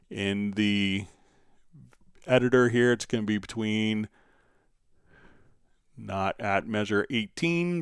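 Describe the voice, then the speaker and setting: A man hosting a live software tutorial, thinking aloud in a halting, hesitant manner as he demonstrates. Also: on the low side, smooth, dry recording, clean studio sound. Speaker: livestream host and software instructor.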